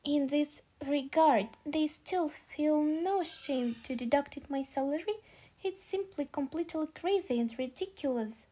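Woman voicing a high-pitched, perky young housekeeper girl. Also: unhurried, warm tone. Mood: indignant. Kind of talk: manga dub acting.

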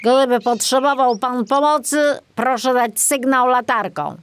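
A woman's voice: high voice, animated, a little slow, pitched above the performer's own voice. A woman voicing a small male forest sprite in a storybook, formal, polite and touchy, given to admonishing. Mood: polite, advising.

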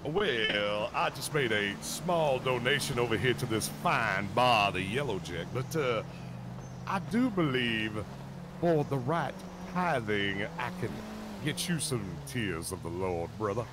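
Man putting on a revival preacher, theatrical fire-and-brimstone delivery, lively intonation, vehicle noise behind. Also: unhurried. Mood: sly, grandiose. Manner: theatrical, repetitive, building to booming exhortation. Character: flamboyant male revivalist street preacher peddling salvation.

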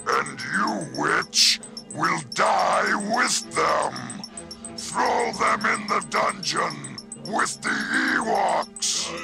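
Gravelly, slow-speaking villain king. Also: sits high, animated, over music, heavily acted. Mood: threatening. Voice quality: gravelly growl. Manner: slow, threatening, imperious. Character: menacing, commanding villain king of alien marauders. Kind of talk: dramatised children's read-along storybook. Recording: dramatic score behind the voice.

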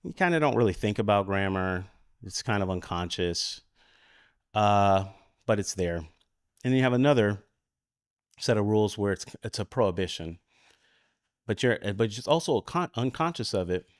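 A man with an American accent giving an informal, explanatory conference talk, halting as he searches for words and often checking in with "right?". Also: fairly low pitch, animated, dry recording, clean studio sound. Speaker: conference speaker. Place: conference room at a lectern.

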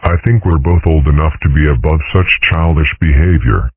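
A man voicing a polite, reasonable dragon father with formal, measured, understated delivery in a cartoon comic dub. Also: very deep voice, slightly rough, no echo, phone-quality sound. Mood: calm, dignified. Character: polite, reasonable seven-foot dragon father, dry and dignified.

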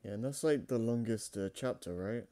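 Mellow-voiced man, relaxed co-host. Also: medium pitch, moderate pace. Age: 20s. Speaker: co-host and channel owner. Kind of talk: casual chat, channel update.

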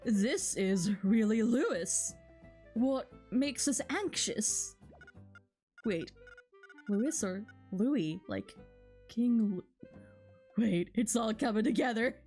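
A woman with a high, swooping voice reads game dialogue aloud at an unhurried pace. She is giggly and casual as she comments, with video game music playing behind her. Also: lively intonation, mellow. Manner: giggly, laughs through her words. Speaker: game streamer.

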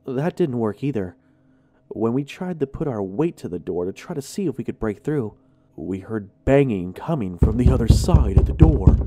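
A man narrates horror in a conversational first-person style. His voice sits at a middle pitch with a soft, mellow tone. He is expressive and animated, moving widely up and down as he tells it.

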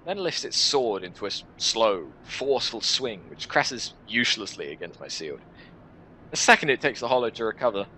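A young man with a bright, forceful and fairly low voice narrates a story in the first person. He reads expressively and deliberately, with drama in his delivery. There is faint noise behind him. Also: poor audio. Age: 20s. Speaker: video creator reading a visual novel. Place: small room at home, indoors.

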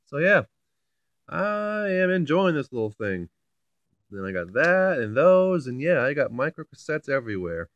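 A man's voice: a little high, sing-song, measured pace, no echo, muffled recording. A man talking casually and informally in a rambling, mildly amused way, like someone giving a hands-on hobby demo. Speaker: hobbyist video maker.

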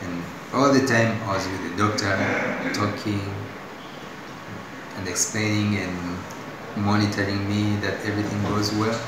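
A young man from Tanzania with a Tanzanian accent, telling his story as a patient in a hospital room, halting and full of restarts. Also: fairly low pitch, fairly even intonation. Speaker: patient giving testimonial. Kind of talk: unscripted spoken testimonial. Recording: very echoey room with audible noise.

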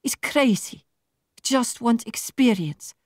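Young woman, frustrated job-seeker in a novel, higher voice, blunt phrasing, played by a female narrator. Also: lively intonation, no room sound, clean studio sound. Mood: angry, pleading. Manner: blunt, halting non-native phrasing.

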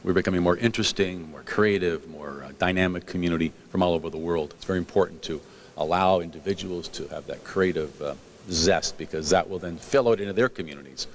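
A man's voice: sits low, fast, slight background noise. A man giving remarks at an awards event, upbeat and praising, with small hesitations. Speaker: official speaker at an awards event. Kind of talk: public remarks.

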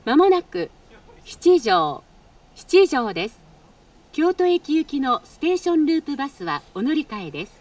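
High-pitched, polite woman announcing on a train. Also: sing-song, moderate pace, darkish tone. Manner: polite, formal, practised delivery. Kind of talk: scripted transit announcement. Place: train car, over public-address speakers. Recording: recorded off an in-car speaker, slightly distant.

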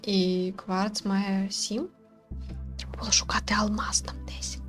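A woman's voice: mid-range voice, level tone, measured pace, soft background music. A woman hosting a game video with casual, off-the-cuff commentary, musing aloud and asking questions as she goes. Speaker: gaming video host and commentator.

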